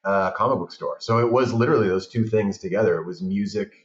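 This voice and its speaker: Low-voiced man telling a rambling story. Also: fast. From Portugal. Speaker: podcast guest, film director. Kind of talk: casual chat. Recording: roomy, muffled sound.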